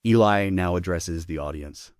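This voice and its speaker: Low-voiced man reading stage directions. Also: normal speed, no echo, clean studio sound. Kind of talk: scripted narration.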